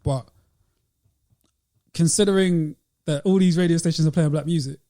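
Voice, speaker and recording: Male podcast host, British, relaxed warm tone, fairly high pitch, casual conversation. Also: moderate pace. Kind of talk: casual discussion.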